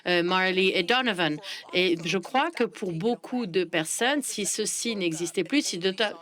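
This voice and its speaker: A woman works as a live simultaneous interpreter. She speaks at a middle pitch, in halting phrases that she often revises midway. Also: fairly bright tone. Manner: halting, phrase-by-phrase delivery with self-corrections.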